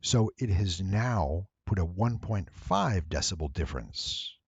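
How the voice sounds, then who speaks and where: A man narrating a tutorial, explaining things step by step in a deliberate, emphatic way. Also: deep voice, expressive, creaky, breathy edge, no echo, dull audio. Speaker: voice actor giving an audio editing tutorial. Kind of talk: explanatory screen-recording narration.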